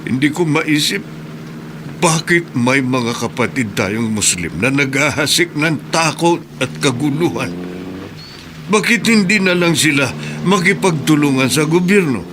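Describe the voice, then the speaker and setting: Sad middle-aged widower, earnest, noisy radio drama track, traffic behind. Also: male voice, fairly high pitch, animated, measured pace.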